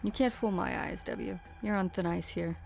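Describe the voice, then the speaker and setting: A woman plays a cool, cutting mercenary captain in an anime game fan dub. Her voice is low with plenty of fry, and she speaks dryly and accusingly, with faint music underneath. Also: moderate pace, darkish tone. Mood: cold, warning. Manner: curt, dry, accusatory.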